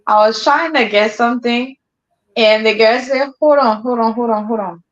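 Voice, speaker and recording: Liberian woman host, fairly high voice, easygoing storyteller who laughs a lot, muffled stream audio. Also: moderate pace. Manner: laughs often, relaxed storytelling with colloquial slang. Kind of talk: casual chat livestream.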